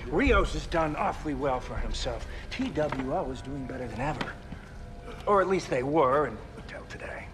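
An actor playing an embittered, betrayed ex-operative turned cartel villain, taunting and sardonic, in a video game cutscene. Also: male voice, fairly high pitch, expressive, moderate pace, natural voice. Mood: menacing, sardonic. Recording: music behind the dialogue.

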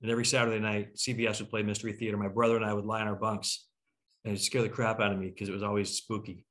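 Low-voiced middle-aged man on a video call. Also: little pitch movement, rapid-fire, fairly bright tone. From Toronto, Canada. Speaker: host. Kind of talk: casual panel discussion. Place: home room, on a video call.

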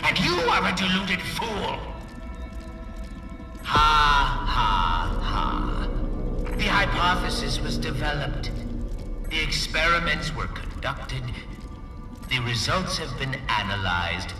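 Smug mad-scientist villain, slow deliberate delivery, theatrical, sneering, echoing distant sound, game cutscene. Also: male voice, on the high side, very animated. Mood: menacing. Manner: pompous, gloating, sneering. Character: pompous, gloating male mad-scientist corporate villain. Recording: echoey hall, distant, music behind. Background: game music.

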